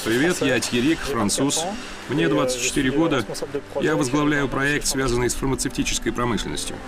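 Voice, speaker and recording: A man reading a Russian voice-over translation of people introducing themselves, in a plain, steady way. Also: mid-range voice, fairly quick. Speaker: voice-over interpreter. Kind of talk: dubbed self-introductions, read evenly. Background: background music.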